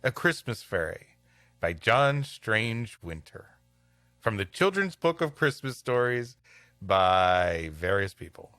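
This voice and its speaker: A man's voice: lowish voice, big pitch swings, takes it slowly, bright, forceful tone, dry recording. A man hosting a comedy podcast, reading old stories aloud and bantering casually. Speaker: podcast host and story reader. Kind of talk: storybook narration with comic banter.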